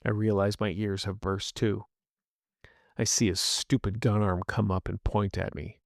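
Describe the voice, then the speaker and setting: A man narrates as a battered master in a dramatic first-person story. He sounds grim, bitter and worn down. The voice has a gravelly fry and sits very dry and close. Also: fairly low pitch, little pitch movement, a little slow, no echo, pitched below the performer's own voice. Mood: grim, pained. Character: battered, grieving old master narrating his defeat.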